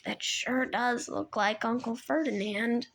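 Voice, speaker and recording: A woman's voice: fairly high pitch, steady tone, unhurried, vocal fry, fairly bright tone, full character voice. A woman doing a jumpy bleating young satyr. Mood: nervous. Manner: nervous, bleating. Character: nervous young male satyr, jumpy and timid.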